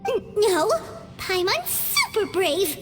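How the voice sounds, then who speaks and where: Teeny cutesy fairy sidekick, super high n bouncy, loud game music behind. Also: female voice, sing-song, takes it slowly, long reverb tail, full character voice. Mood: defensive. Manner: cutesy, refers to self in third person. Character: tiny squeaky childlike fairy companion, excitable, chatty. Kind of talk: video game cutscene acting.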